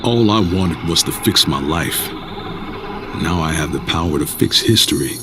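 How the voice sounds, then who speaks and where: A man's voice: sits low, lively intonation, moderate pace. An actor playing an earnest, resolute American officer and family man, narrating reflectively in a game cutscene. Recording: music under the voice.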